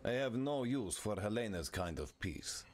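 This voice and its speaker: A man's voice: lowish voice, expressive, moderate pace, a little mellow, faint music behind, close to an everyday voice. A man voicing a scornful outlaw in a video game, talking in a terse, blunt, sardonic way. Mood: scornful, mocking. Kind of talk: scripted game dialogue.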